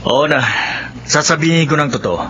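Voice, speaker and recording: An actor playing an evasive, defensive middle-aged husband with a Filipino accent in a radio drama. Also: male voice, on the high side, moderate pace, a bit bright, lightly acted. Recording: muffled radio recording with faint noise.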